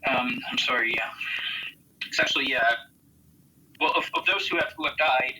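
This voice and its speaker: An American man giving earnest interview answers as a volunteer soldier, speaking haltingly as he searches for words. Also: mid-range voice, bright, forceful tone, a little hiss or hum, rough recording. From United States.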